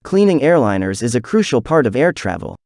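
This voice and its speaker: A man's voice: medium pitch, very animated, brisk, a little mellow, no echo, studio quality. A man reading a scripted practice passage aloud. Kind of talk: scripted read-aloud narration for exam practice.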